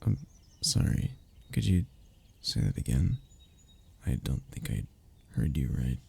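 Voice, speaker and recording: A man acting a lovesick, anxious young man in an intimate romantic audio roleplay, with a halting, emotional delivery and breathy murmurs. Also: a bass voice, wildly swooping pitch, a little mellow, full character voice. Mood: tender, disbelieving. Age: young adult. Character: lovesick, anxious young man confessing to childhood best friend.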